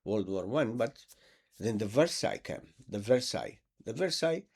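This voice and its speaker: Male preacher, gravelly and animated, sarcastic and repetitive. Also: on the low side, normal speed. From Palestine. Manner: sarcastic, repeats words for emphasis. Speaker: Islamic preacher. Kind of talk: impassioned monologue.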